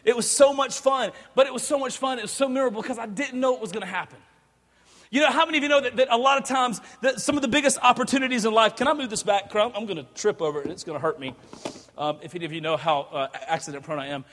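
A man, preaching an excitable, joking sermon and often stammering and repeating words. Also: very high voice, rapid-fire, in a large hall, slight background noise. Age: 30s. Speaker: pastor. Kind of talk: energetic sermon. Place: large church hall.

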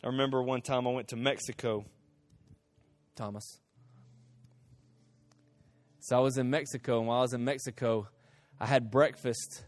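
A middle-aged man preaching a sermon, telling stories in an animated way, rising to emphatic peaks and dropping to hushed asides. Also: mid-range voice, moderate pace, pauses often. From Central America. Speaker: pastor.

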